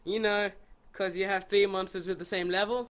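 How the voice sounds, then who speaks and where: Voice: medium pitch, steady tone, normal speed, a bit bright, smooth, natural voice. An actor voicing a scheming, gloating small schoolboy villain in a cartoon parody dub, theatrical and plotting aloud. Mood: fake friendly.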